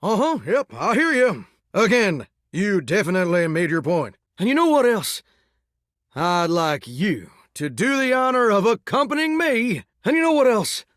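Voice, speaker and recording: A man's voice: sits high, very animated, moderate pace, fairly bright tone, no echo, clean studio sound. A man with a Southern US accent voicing a folksy, earnest, starry-eyed singing shower in a dating game, drawling homespun sayings. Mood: eager, flirty. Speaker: voice actor in a dating sim game. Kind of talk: expressive video game character dialogue.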